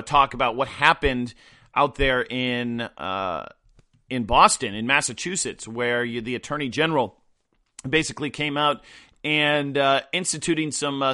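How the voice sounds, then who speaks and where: A man hosting a podcast in casual chat, his voice hoarse and rough, rambling with many fillers. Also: medium pitch, bright, forceful tone. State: hoarse after a weekend of travel and partying. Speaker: podcast host.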